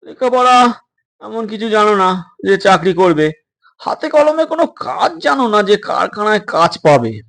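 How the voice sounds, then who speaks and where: A grave ship's doctor from an audio story, acted out by a male narrator. He speaks slowly and with authority, putting his questions deliberately. He is stern, yet caring underneath. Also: sits high, very animated, creaky, fairly bright tone, voice pushed up, heavily acted. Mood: stern, questioning.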